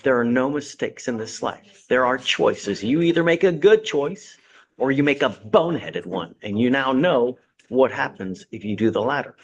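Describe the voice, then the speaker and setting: A man's voice: medium pitch, lively intonation, moderate pace. A man serving as judge in a courtroom, lecturing a defendant from the bench with blunt sarcasm and folksy sayings.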